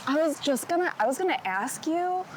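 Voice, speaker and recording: A woman hosting a casual street interview, talking in an upbeat, chatty way and quick to react, while walking along a city sidewalk. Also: sits high, lively intonation, a crowd in the background. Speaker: interviewer, host.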